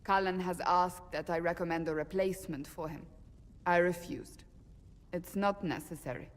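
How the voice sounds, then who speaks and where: A principled warrior woman played by an actress speaks in a somewhat low voice with a firm, matter-of-fact formality. She is blunt and stern, in game-drama style, and the sound carries a long reverb. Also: fairly even intonation, moderate pace, lightly acted. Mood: grave, persuasive.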